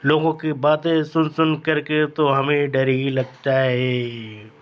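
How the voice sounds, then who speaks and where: A man acts out an anxious older village uncle in an animated horror tale. He speaks slowly and fretfully, addressing listeners like his own children, with a fearful, fatalistic air. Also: a little high. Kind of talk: animated horror story dialogue.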